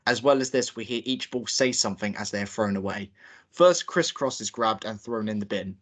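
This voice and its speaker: A man reads the stage directions at a script read-through in a plain, steady manner. His voice sits a little low. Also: brisk. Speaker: narrator reading stage directions. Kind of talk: table read narration.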